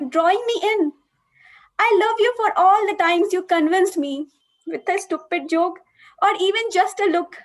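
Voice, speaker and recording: Woman playing a lovelorn female friend, extremely high voice, earnest romantic confession. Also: poor audio. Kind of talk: reading a film monologue aloud. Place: online video call.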